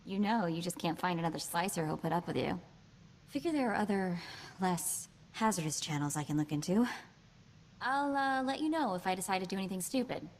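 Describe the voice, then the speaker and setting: An actress playing a sassy, quick-witted young woman hacker in a video game, with a chatty, wry delivery. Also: lowish voice, slight background noise, lightly acted. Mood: uneasy. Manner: wry, chatty, hesitant asides.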